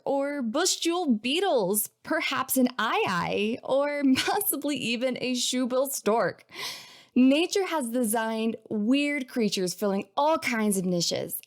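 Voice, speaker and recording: A woman podcast host reading a scripted introduction in an informal, playful way. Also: high voice.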